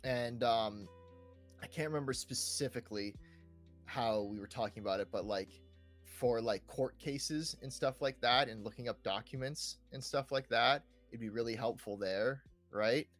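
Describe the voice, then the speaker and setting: A young man talks casually as a podcast co-host, in a mid-pitched voice. He is hesitant, doubling up words and often tagging on little checks for agreement. Soft music plays behind him. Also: moderate pace. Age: young adult. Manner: hesitant, repeats words, often seeks agreement with "right?" and "you know". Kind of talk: casual chat about video games.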